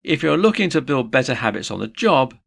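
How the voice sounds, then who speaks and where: A male narrator with a gravelly edge delivers a scripted explainer. His pitch moves around freely in an animated way, and he addresses the listener with upbeat presenter phrasing. The voice sits in a middle register. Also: brisk.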